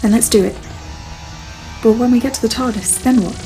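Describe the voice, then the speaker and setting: A woman plays a worried yet brave space traveller in an audio drama. Her voice is fairly high and dark-toned. Faint music sits behind it. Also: fairly even intonation, a little fast, natural voice, low-quality recording. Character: Romana, worried but brave female space-travelling companion. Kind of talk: fan audio drama acting.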